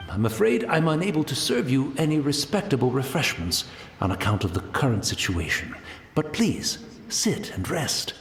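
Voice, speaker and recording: A serene old blind tea master, gentle, courteous and at peace with his fate. He speaks reflectively, and his voice rises and falls. The sound is roomy, with a faint hiss behind it. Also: medium pitch. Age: older. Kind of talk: game voice acting.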